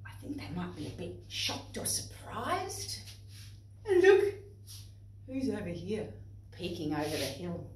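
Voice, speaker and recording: A woman with an Australian accent presenting to young children and parents in an expressive, instructive way. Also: on the low side, measured pace, pauses often, a little hiss or hum. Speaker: playgroup facilitator and presenter. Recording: echoey room, distant microphone.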